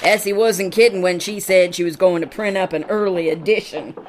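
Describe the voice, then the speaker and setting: A woman's voice: fairly low pitch, fairly even intonation, brisk, slight background noise, close to an everyday voice. A woman playing a tough, plain-spoken, kind-hearted cowgirl bounty hunter with folksy Old West talk in a western audio drama for children. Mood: easygoing.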